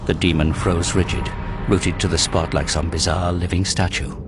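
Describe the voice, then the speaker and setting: A man reading scripted dramatic narration for an audio drama, grave and measured. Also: low-pitched, little pitch movement, noisy background.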